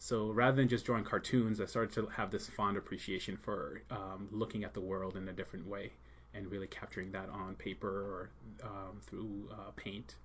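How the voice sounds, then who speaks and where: A man born in Jamaica with a fairly low voice and no strong accent, talking in a relaxed podcast interview. He speaks softly and thoughtfully, filling pauses with ums as he searches for words. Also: a little hiss or hum. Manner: soft-spoken, many ums, thoughtful pauses. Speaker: school leader and art teacher.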